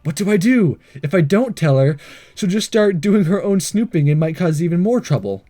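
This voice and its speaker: A man's voice: high voice, very animated, moderate pace, warm tone. A man hosting a let's play, reading visual novel dialogue aloud in a playful, theatrical way with joking asides. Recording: game music behind.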